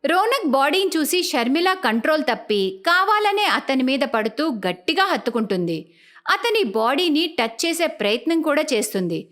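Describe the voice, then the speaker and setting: A woman's voice: sits high, very animated, normal speed, a bit bright. A woman narrating a scripted cartoon story as its storyteller.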